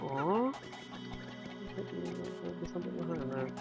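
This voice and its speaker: A man's voice: high voice, slow, gravelly, over loud music. A man giving casual, wry game commentary and reading game text aloud in an offhand way. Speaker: video game player.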